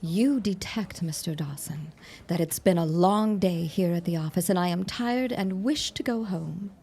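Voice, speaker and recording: A woman's voice: fairly low pitch, measured pace, gravelly, warm tone, husky, exaggerated voice. A woman acting a brusque, flirtatious and world-weary secretary in a 1910s period audio drama, with curt, slangy, sardonic delivery. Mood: irritated, sardonic.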